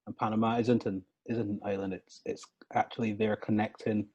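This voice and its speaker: Low, husky man musing aloud. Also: level tone, fairly quick, a little mellow, highs cut off. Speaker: co-host. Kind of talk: casual video chat.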